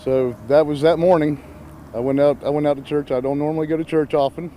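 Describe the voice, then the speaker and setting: A man recounts his story in an outdoor news interview, with earnest, grateful conviction. His voice is mellow and warm, with faint traffic noise behind him. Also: a little high, steady tone, fast.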